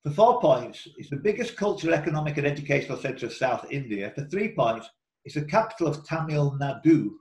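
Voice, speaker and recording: A man's voice: a little high, lively intonation, fairly quick, a little mellow. A man hosting an online quiz, reading out questions in a chatty, informal way and breaking off into asides. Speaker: quiz host and question reader. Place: indoor room, online video call. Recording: roomy echo.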